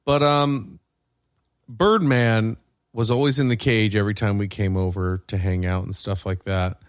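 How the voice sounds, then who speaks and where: A man's voice: on the low side, fairly even intonation, unhurried, clear, resonant tone, no room sound. A man hosting a podcast, chatting and telling stories casually with a dry, joking delivery. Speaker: podcast host. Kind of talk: casual chat and storytelling.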